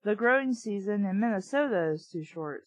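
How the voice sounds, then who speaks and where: A woman's voice: medium pitch, animated, moderate pace, no room sound. A woman reading a book aloud and reacting in casual, blunt asides, often incredulous and sweary. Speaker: host reading and reviewing a children's book. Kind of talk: read-aloud with casual running commentary.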